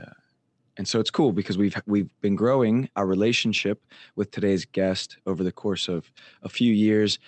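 A man co-hosting a podcast in easygoing casual chat, hoarse and losing his voice. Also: deep voice, no room sound. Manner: easygoing, relaxed, chatty. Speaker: podcast co-host.

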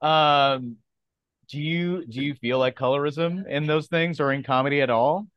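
A man hosting a comedy podcast, relaxed and jokey in casual chat, often answering with "right". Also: fairly high pitch, a little slow, clear, resonant tone, dry recording, lo-fi sound. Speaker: podcast host.

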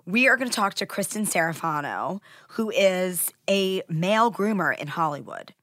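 A woman hosts a studio podcast while fighting a cold, so her voice comes out nasal and stuffy. Her voice sits a little low, and she chats in an upbeat way. The studio sound is dry and close. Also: lively intonation, moderate pace, fairly bright tone, no echo. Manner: chatty and upbeat, thinks aloud. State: sick, nasal. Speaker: podcast host. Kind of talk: casual chat. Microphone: studio mic.

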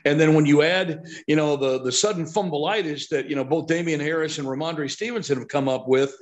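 Opinionated man with a higher-pitched voice. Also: fairly quick. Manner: opinionated, emphatic, rhetorical questions. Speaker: sports announcer and podcast guest pundit. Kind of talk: sports podcast discussion.

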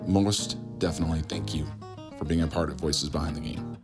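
Very deep-voiced American man hosting. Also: steady tone, fairly quick, loud music behind the voice. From Utah, United States. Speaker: podcast host. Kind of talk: conversational podcast interview with scripted-sounding introduction.

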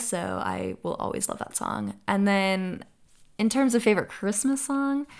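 Upbeat young woman with gravelly voice. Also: fairly low pitch. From United States. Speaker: podcast host. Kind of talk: solo podcast Q&A.

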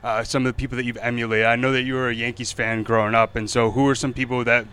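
A man's voice: medium pitch, level tone, quick pace, fairly bright tone, clean tone. A young adult man hosting a sports interview podcast, asking long rambling questions with plenty of fillers. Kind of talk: casual interview chat.

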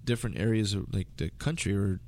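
A man's voice: lowish voice, fast, a little mellow. An American man chatting casually in a rambling, slangy way. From United States. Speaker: interview guest. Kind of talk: casual conversation.

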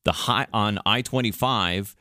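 A middle-aged American man hosting a podcast in informal, halting, jokey casual chat. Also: on the low side, pushed and bright, no room sound, studio quality. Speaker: podcast host.

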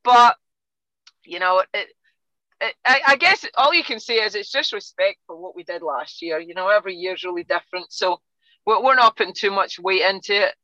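A Scottish woman talks through a casual podcast interview with a bright, forceful tone. She restarts her sentences often and fills gaps with "um". The sound is dull and a little muffled, recorded dry with no room echo. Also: medium pitch, normal speed. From Scotland. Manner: chatty, frequent restarts and fillers, laughs easily. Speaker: guest soccer coach.

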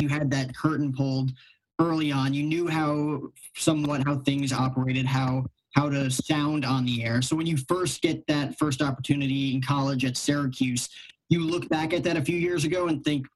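A man's voice: fairly high pitch, fairly even intonation, normal speed, poor audio. A young adult man hosting an interview in a casual, chatty style. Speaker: interviewer, podcast host. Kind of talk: casual chat.

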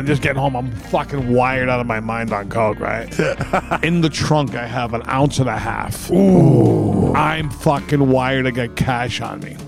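A man co-hosting a podcast, telling stories casually and comically in a boisterous, profane, animated way. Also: medium pitch, vocal fry. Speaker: podcast co-host.

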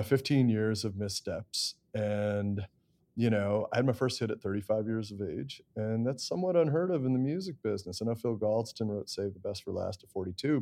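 Low-voiced middle-aged American man. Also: darkish tone. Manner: self-deprecating, candid. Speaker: interview guest, songwriter and producer. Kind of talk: conversational interview storytelling.

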